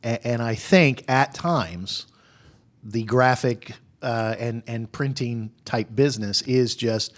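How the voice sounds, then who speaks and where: A man's voice: medium pitch, slow pace. Man hosting a business radio show. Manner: rambling questions with restarts. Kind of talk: radio interview.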